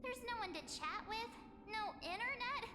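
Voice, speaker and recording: A woman's voice: very high-pitched, lively intonation, normal speed, background noise. A woman voicing a chatty, bubbly young female companion who talks in an upbeat way. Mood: excited. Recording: roomy game audio with reverb. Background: game music.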